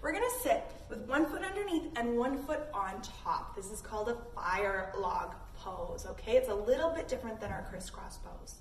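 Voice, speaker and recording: Woman, high voice, children's yoga teacher, expressive storybook reading, encouraging, echoey room, off-mic. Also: moderate pace, few pauses. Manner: simple, encouraging instructions addressed to young children. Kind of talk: children's read-along lesson with guided yoga. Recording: echoey room, distant mic, background noise.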